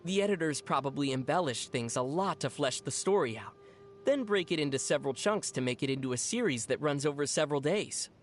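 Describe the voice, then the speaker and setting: An actor voicing a young traveling adventurer in a video game, level-headed and gently teasing, explaining things in a dry, playful way. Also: medium pitch, animated, fairly quick, soft background music, lightly acted. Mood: dry, explanatory. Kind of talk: video game character dialogue.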